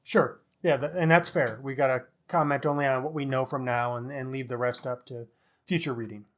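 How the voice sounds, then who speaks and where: A middle-aged man talks as a podcast co-host in a casual book discussion. His pitch sits in a middle range for a man. He is opinionated and analytical, spinning out long, wordy thoughts. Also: steady tone, fairly quick, a bit bright.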